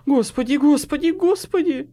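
A man's voice: sits high, sing-song, normal speed, dark tone, pitched above the performer's own voice. A man voicing a jittery, panicky very young man with a thin tenor in an audiobook story. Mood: terrified. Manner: panicky, repeating himself.

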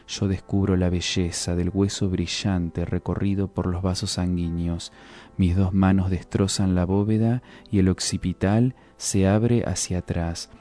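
A man giving a solemn, deliberate dramatic reading of poetic prose, as a guest reader. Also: low-pitched, dark tone. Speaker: guest reader of literary texts. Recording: music under the voice. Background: music.

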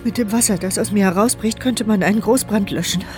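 A woman's voice: mid-range voice, brisk, darkish tone, faint music behind, lightly acted. An actress voicing the inner monologue of a paralyzed, mute young woman in a radio play, intimate and reflective. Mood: anxious.

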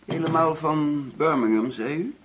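A man's voice: fairly high pitch, unhurried, background noise, lightly acted. An actor playing a middle-aged father in a Dutch radio play, hospitable but bitter, probing and repeating himself when agitated. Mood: hospitable, jovial.

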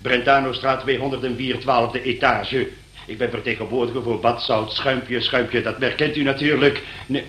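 An actor playing a fussy busybody neighbour in a radio play, flustered, officious and apologetic, often repeating himself. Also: male voice, mid-range voice, fairly even intonation, normal speed, a bit bright, echoey room, lightly acted. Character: fussy, busybody male neighbour phoning the police.

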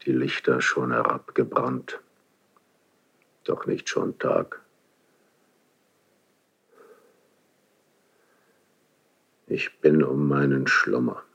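A gravelly, slow-brooding low-voiced king. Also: a bit bright, exaggerated voice. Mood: brooding, weary. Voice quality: gravelly, husky. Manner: slow, halting, theatrical stage delivery. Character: brooding, suspicious, wounded aging king, sleepless and imperious. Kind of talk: classical German verse radio drama.

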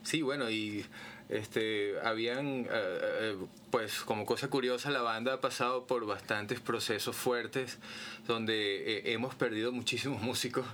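Bright-voiced man, hesitant delivery. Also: medium pitch, steady tone, a little slow, slight background noise. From Caracas, Venezuela. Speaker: guitarist, band guest. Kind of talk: casual interview chat.

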